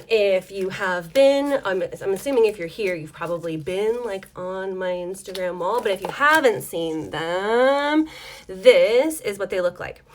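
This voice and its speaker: A woman hosting a casual livestream tutorial from her home desk, chatting in a rambling, apologetic way with many ums while losing her voice. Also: medium pitch. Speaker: dice maker hosting a live tutorial.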